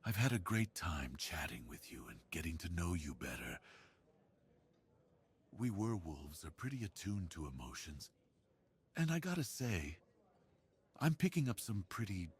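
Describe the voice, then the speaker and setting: A man voicing a big, gentle werewolf in an ASMR roleplay, speaking in an earnest, bashful, tender way. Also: sits low, breathy edge, heavily acted. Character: big, muscular, gentle, bookish romantic male werewolf.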